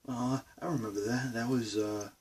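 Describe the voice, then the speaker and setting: A man's voice: medium pitch, steady tone, normal speed, a little mellow, distant mic, slight background noise. A man with a Boston accent rambling casually to his camera from his bedroom studio. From Massachusetts, USA. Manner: rambling, meandering talk. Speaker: vlogger.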